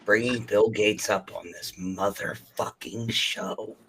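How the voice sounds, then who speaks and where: A man's voice: on the low side, fairly even intonation, slow, a bit bright, rough recording. A man on a casual talk show panel, chatting with sarcastic, profane interjections. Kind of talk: casual chat. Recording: faint background noise.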